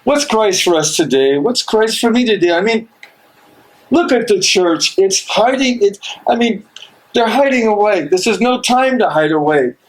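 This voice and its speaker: A man's voice: high-pitched, animated, moderate pace, poor audio. A man acting a troubled but resolute imprisoned theologian in an amateur stage reading, anguished, brooding and hesitant. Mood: troubled, questioning.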